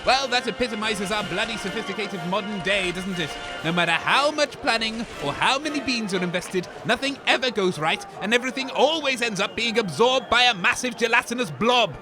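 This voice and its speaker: A man's voice: high voice, lively intonation, fairly quick, hardly any pauses, music in the background. A British man playing a grumpy, cynical mutant radio co-host and pretentious poet, sarcastic and sweary, in comedy commentary. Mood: grumbling, scornful. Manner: grumbling, sarcastic, scornful, sweary. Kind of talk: comedy audio drama, mock live event commentary.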